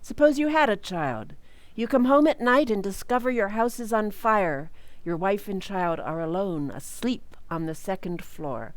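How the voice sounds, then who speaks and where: A woman playing a brisk, clinical psychiatrist, commanding and methodical, in a radio mystery drama. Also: medium pitch, animated, dry recording, lightly acted, poor audio. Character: brisk, clinical female psychiatrist testing a patient.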